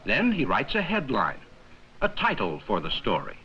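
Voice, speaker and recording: A man reading scripted 1950s educational film narration in a measured, explanatory manner with simple, plain sentences. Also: medium pitch, wildly swooping pitch, sharp tone, dull audio. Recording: worn, noisy 1950s 16mm film soundtrack.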